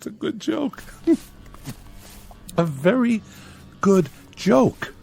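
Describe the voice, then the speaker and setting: A nervous father speaks with forced cheer in a radio drama. His pitch swings wildly and his slow delivery is soothing and placating, with music behind him. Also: big pitch swings, gravelly, warm tone, full character voice. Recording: music under the voice.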